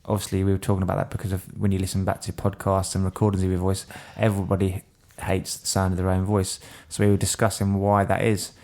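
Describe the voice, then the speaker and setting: Podcast host: man, London accent, low voice, jokey and rambling. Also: completely flat delivery, quick pace, vocal fry, hardly any pauses, a little mellow. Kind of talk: casual chat.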